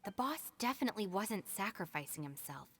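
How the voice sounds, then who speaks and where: A woman voicing a level-headed, long-suffering young female gang deputy in a video game, matter-of-fact and measured. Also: mid-range voice, sing-song, fairly bright tone, slightly rough, heavily acted. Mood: calm, reassuring. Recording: music under the voice.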